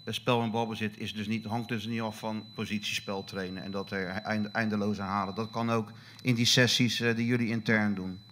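A male reporter with a lowish voice asks questions at a press conference. He halts and repeats words as he goes, with faint noise behind him. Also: little pitch movement, fairly bright tone. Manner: halting, repeats words while asking. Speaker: journalist.

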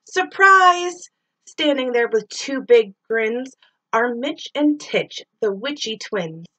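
A middle-aged woman doing an expressive read-aloud of a rhyming children's story, drawing out words and sound effects like a teacher reading to pupils. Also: on the high side, slow, low-quality recording.

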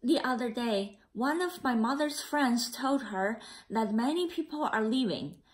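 A woman reading a children's picture book aloud in a careful, slightly halting way. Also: on the high side, animated. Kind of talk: read-aloud storytelling.

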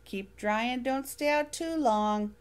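A woman voicing a kind, gentle mother in a storybook reading. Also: fairly high pitch, takes it slowly, smooth, voice pushed up.

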